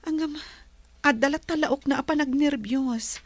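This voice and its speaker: A woman with an Ilocano Filipino accent playing a gentle, wealthy bride-to-be in a radio drama. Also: high voice, a little slow, no room sound. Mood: warm, teasing.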